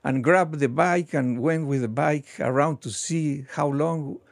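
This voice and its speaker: Slow-spoken older man. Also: on the high side, creaky. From Argentina. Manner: unhurried, warm recollection with soft laughs. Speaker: guest. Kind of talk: interview storytelling.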